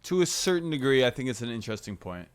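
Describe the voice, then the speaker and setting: A man co-hosting a casual talk show with his brother. His voice is mid-pitched and even-tempered. He weighs his words and qualifies his points, keeping an easygoing manner. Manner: measured, hedging, calm. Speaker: podcast co-host. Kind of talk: casual argument and sports chat with his brother.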